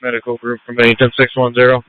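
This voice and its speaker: A man's voice: medium pitch, monotone, fast talker. A man on an ambulance crew giving terse, procedural updates over a narrow two-way radio channel. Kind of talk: radio traffic status reports.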